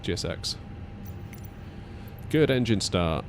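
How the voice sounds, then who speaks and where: A man's voice: low-pitched, expressive, takes it slowly. A man giving casual flight simulator commentary, thinking aloud in a chatty way as he hosts. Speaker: flight simulator video host. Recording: faint background noise.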